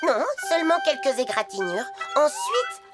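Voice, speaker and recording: A woman's voice: high voice, moderate pace. A woman voicing a confident, imaginative little child telling stories in a French children's cartoon. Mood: confident, playful. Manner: animated storytelling. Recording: music behind the voice.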